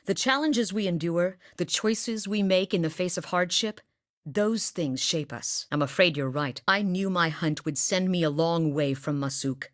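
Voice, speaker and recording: Casting: an actress doing a low-voiced, blunt northern huntress for a game, deadpan, dry close-mic booth. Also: female voice, measured pace, dry recording, close to an everyday voice. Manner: dry humor, matter-of-fact. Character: steady, blunt woman hunter from a far northern village. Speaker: game character voice lines. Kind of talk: studio game voice acting. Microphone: close studio mic.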